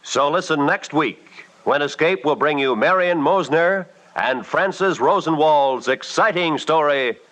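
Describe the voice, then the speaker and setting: A man's voice: a little high, measured pace, few pauses, fairly bright tone, slight background noise, poor audio. A man with an American accent announcing in a formal 1950s radio style. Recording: old radio broadcast.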